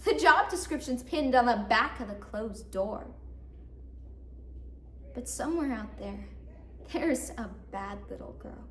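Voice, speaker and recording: A young woman performing a dramatic monologue as a bitter, wry psychiatric patient, with sardonic, theatrical emphasis that moves between hushed and loud. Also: on the high side, voice pushed down. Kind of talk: dramatic monologue, competition interpretation. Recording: roomy echo, faint noise, distant mic.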